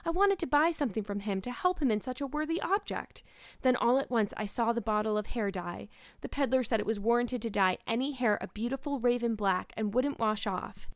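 A woman's voice: a little high, dry recording, clean studio sound, voice pushed up, close to an everyday voice. A woman voicing a despairing, wordy young girl in a storybook, pleading and earnest in a melodramatic way.

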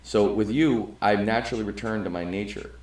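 Middle-aged male co-host, low-ish, earnest and rambling, echoey room. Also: brisk, a little mellow. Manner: rambling and earnest, with frequent restarts. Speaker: podcast co-host. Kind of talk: casual conversation. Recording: roomy, echoey sound.

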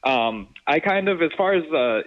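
A man's voice: fairly high pitch, expressive, moderate pace. A man in his thirties from Rhode Island, a guest in a casual interview, thinking aloud and searching for words. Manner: thoughtful, searching for words, many fillers. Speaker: guest, sound engineer and producer. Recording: tinny phone-like remote call.

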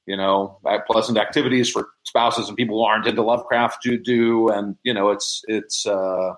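Low-pitched middle-aged man, casual interview guest. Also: steady tone, normal speed, low-quality recording. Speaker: interview guest, member of a hobbyist society. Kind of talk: casual, explanatory interview talk.

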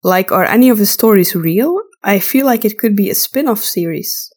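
A woman's voice: medium pitch, lively intonation, normal speed, a little mellow. A woman co-hosting a podcast, talking casually and conversationally. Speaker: podcast co-host.

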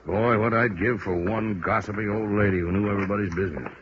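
A gruff, weary police lieutenant acted by a man with a deep voice. He is blunt and plain-spoken, in old radio crime drama style. The sound is dull, with faint noise. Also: quick pace, natural voice. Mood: weary, frustrated. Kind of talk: 1950s radio drama acting.

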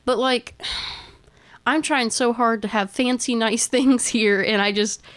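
A woman's voice: fairly high pitch, fairly even intonation, slow. A woman co-hosting a podcast with her sister, her voice a touch nasal. She rambles and laughs through casual chat, recorded dry and close with no room echo. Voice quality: a little nasal. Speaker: podcast co-host.

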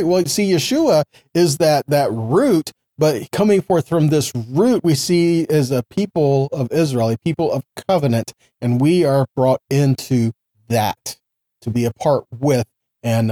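Higher-pitched man teaching scripture, mellow tone. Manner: didactic, asking rhetorical questions, seeking agreement. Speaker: Bible teacher. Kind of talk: informal scripture teaching, reading verses and explaining.

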